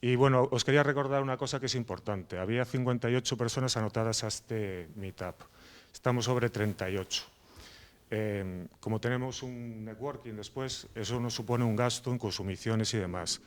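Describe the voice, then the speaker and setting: A man organizing a meetup, making announcements and introducing the speaker, nervous and halting with many fillers. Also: medium pitch.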